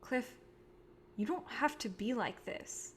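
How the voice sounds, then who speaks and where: A woman playing an earnest, idealistic female filmmaker in a staged play reading, speaking in a sincere, measured way. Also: fairly high pitch, animated, slightly rough, full character voice. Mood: hurt, pleading.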